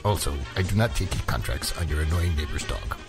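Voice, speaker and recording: A man's voice: a bass voice, fairly quick, music in the background. A man playing a businesslike demon hunter in a parody radio commercial, with a formal announcer's delivery. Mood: solemn. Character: solemn, businesslike demon hunter advertising his services.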